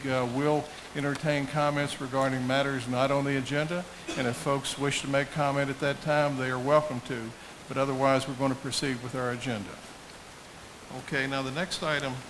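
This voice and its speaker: A man with a halting, deliberate delivery, formally running a town council meeting as mayor. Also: medium pitch, level tone. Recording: hissy public meeting room microphone.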